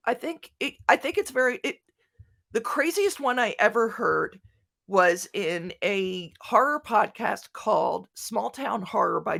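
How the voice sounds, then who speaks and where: A woman with a Philadelphia American accent answering questions as a guest speaker, animated and halting, often restarting her sentences. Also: mid-range voice, vocal fry. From Philadelphia, United States. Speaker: guest speaker, podcast writer. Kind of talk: conference question-and-answer talk.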